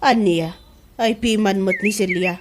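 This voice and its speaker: A woman's voice: mid-range voice, a little slow, noisy background, close to an everyday voice. A woman playing a chatty, proud middle-aged mother who gossips, in a comedy radio drama with an Ilocano accent. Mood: curious, gossipy. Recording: music under the voice.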